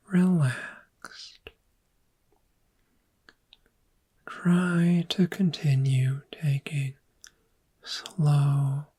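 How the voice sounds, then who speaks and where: Woman playing a very slow, whispering dragon therapist. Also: low voice, mellow, full character voice. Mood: calm, reassuring. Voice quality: breathy whisper. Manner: slow, measured, soothing, with long pauses. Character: calm, gentle dragon therapist guiding a patient. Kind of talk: soft-spoken ASMR roleplay, guided visualization.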